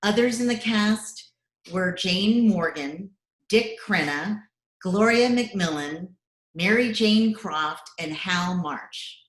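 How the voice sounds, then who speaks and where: Female announcer for vintage-style radio comedy, unhurried scripted read, echoey video-call sound. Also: mid-range voice, expressive. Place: recorded remotely at home.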